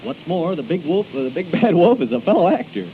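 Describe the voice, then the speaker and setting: Animated, high-voiced man, vintage radio host. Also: brisk, noisy background. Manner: theatrical. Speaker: radio show host and announcer. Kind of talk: 1930s radio announcing and comic banter. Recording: tinny 1930s radio broadcast, loud music.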